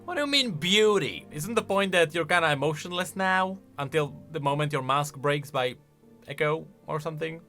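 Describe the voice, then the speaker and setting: Animated, bright-voiced male gaming commentator. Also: fairly high pitch, normal speed, faint music behind. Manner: animated, questioning, conversational. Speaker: video host, game lore commentator. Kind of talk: casual reaction commentary. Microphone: close studio-style microphone.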